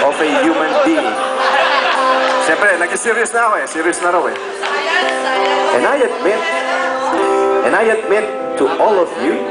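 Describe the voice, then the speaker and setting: A Filipino man in his thirties, a native Tagalog speaker, reading a letter aloud in a playful, stumbling way with joking asides. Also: on the high side. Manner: playful, stumbling, breaks into chuckles and side comments. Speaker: reader of a friend's message. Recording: echoey room with loud music behind. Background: loud instrumental music.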